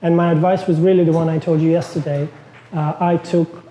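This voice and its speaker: A man's voice: fairly high pitch, level tone, brisk, warm tone, slight background noise. A man in his thirties from Croatia, not a native English speaker, giving a loose, chatty conference talk as a lecturer. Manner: chatty, loose phrasing with fillers. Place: lecture room. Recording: echoey lecture room.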